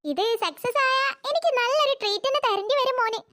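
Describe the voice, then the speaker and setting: A performer voicing a teasing, sassy young woman in a cartoon, with a pitched-up voice. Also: animated, fairly quick, sharp tone, dry recording, studio quality, heavily acted. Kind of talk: cartoon voice acting.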